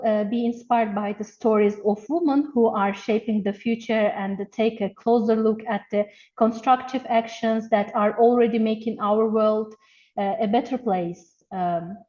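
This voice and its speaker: A woman hosting an online event, giving an earnest, measured, formal welcome over a video call. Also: a little high, dark tone. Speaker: webinar host. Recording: dull, lo-fi video call audio.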